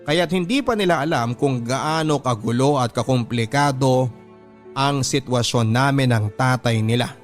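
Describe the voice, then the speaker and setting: A man's voice: medium pitch, moderate pace, natural voice. Man voicing a heartbroken Filipino wife's confession over music. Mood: resigned, hurt. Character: heartbroken, long-suffering young wife and mother confessing. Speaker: radio drama narrator reading a listener's letter. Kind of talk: dramatic first-person scripted storytelling. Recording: music bed under narration. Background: background music.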